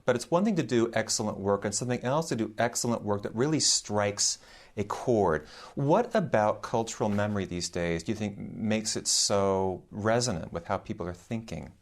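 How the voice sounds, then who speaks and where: A man hosts a university radio interview, asking questions in a polite, hesitant way with many ums and uhs. His voice is somewhat low. He speaks like a professor introducing and questioning a guest. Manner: hesitant, many "uh" and "um" fillers and restarts. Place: radio studio.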